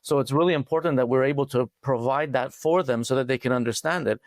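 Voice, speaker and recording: A man from Montreal, talking thoughtfully as a podcast guest, with many pauses and "uh". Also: medium pitch, no room sound, clean studio sound. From Montreal, Canada. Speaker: financial coach, podcast guest. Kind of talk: casual interview talk.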